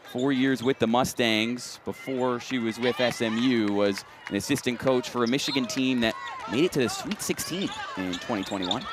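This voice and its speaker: A man's voice: medium pitch, background noise. A man calling live sports play-by-play in an announcer's delivery that rises on big plays.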